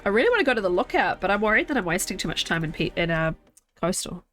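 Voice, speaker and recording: A young woman with a blocked, nasal-sounding voice talks her way through a survival game, thinking aloud and chatting with her viewers. Her pitch sits in a middling range, and soft music runs faintly underneath. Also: big pitch swings, fast talker. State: stuffy nose, congested. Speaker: game streamer. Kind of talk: casual live gameplay chat. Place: at home.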